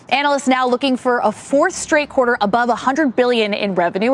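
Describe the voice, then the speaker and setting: An American woman giving a live broadcast business news report in a polished, articulate way. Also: on the high side. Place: on location at a company headquarters.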